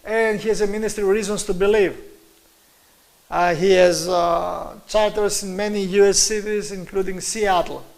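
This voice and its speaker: A middle-aged man with a Greek accent giving a religious lecture on scripture in halting English, emphatically repeating key words. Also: high voice, fairly even intonation, creaky, poor audio. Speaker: Bible teacher giving a presentation.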